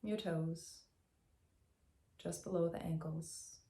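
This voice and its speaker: Low, gravelly woman guiding meditation. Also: steady tone, roomy sound. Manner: gentle, soothing, unhurried with long pauses. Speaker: meditation teacher. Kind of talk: guided meditation, soft instructional narration.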